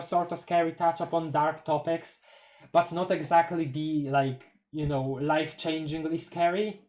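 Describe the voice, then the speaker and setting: Giggly Polish-accented streamer reading aloud. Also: medium pitch. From Poland. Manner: giggly and excitable, reading aloud with stumbles over long words. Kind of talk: casual live-stream reading a webcomic aloud.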